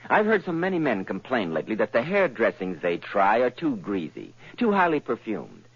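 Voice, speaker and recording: A man announcing an old radio show and reading a persuasive commercial, from a dull old broadcast. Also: no room sound. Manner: smooth, persuasive sales pitch.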